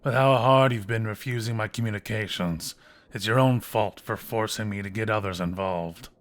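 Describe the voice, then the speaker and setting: Guy voicing a smug guildmaster villain, kinda deep voice, mock polite and taunting, hammy fantasy audio drama. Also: normal speed, lightly acted. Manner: theatrical, taunting, mock-courteous. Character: smug, scheming male fantasy adventurers' guildmaster.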